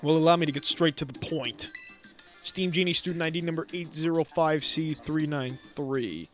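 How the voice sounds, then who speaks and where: A man's voice: a little high, a bit bright, soft background music, natural voice. A man reading aloud the lines of a courteous, formal male academy director in a video game. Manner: courteous and measured.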